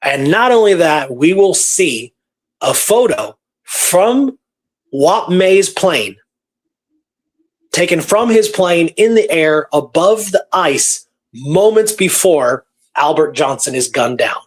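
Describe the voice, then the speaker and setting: A man's voice: fairly high pitch, expressive, takes it slowly. A man hosting a radio show, telling a story in a casual, conversational way and repeating phrases for emphasis.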